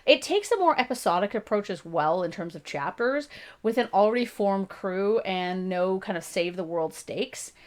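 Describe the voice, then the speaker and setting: A Canadian woman in her thirties with a slightly gravelly voice, hosting a casual book review channel, chatty and self-deprecating. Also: mid-range voice, animated, normal speed. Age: 30s. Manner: chatty and self-deprecating, with frequent laughs and restarts.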